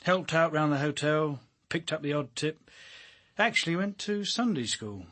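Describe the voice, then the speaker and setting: An actor in a radio drama playing a world-weary, driven senior detective with a dry, blunt, commanding manner. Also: male voice, on the high side, lively intonation, moderate pace, a bit bright. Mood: sardonic, musing.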